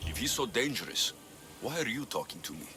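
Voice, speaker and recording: A man's voice: mid-range voice, very animated, brisk, background noise, close to an everyday voice. A male actor playing a confident, blunt mercenary hero in a video game cutscene, firm and matter-of-fact. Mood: blunt, sceptical.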